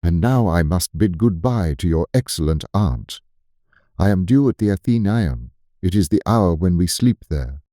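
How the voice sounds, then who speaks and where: A man as a deep, mellow old gentleman. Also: animated, moderate pace, no room sound, studio quality. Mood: courteous. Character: courteous, drily humorous elderly club gentleman. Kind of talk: audiobook character dialogue.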